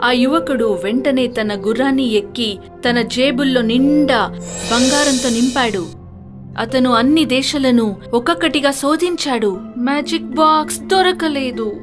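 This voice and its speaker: A woman's voice: high-pitched, measured pace, over music. A woman narrator reading a scripted fairy tale for children.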